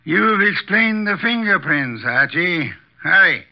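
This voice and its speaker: An actor playing an imperious, erudite armchair detective with formal, deliberate diction, in 1950s radio drama style. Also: male voice, high voice, lively intonation, gravelly, full character voice. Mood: self-assured. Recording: dull vintage 1950s radio recording.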